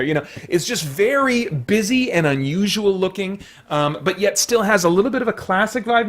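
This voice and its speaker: A man giving a casual, unscripted movie review in a conversational way, often searching for words. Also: high-pitched.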